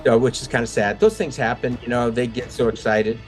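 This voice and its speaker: Older man, veteran interview guest. Mid-range pitch, conversational, anecdotal, slips into remembered dialogue. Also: steady tone, brisk, soft background music. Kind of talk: casual war-story telling.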